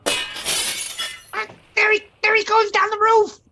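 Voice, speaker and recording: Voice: very high-pitched, completely flat delivery, slow pace, over music, heavily acted. An actor playing a frightened, flustered working-class night watchman who stammers, in old-time radio drama style. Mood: panicked. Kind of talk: 1940s radio detective drama acting.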